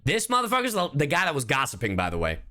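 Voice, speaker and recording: A man streaming live reaction commentary, casual and expressive, slangy and swearing freely. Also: fairly high pitch, fast, soft background music. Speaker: streamer, host.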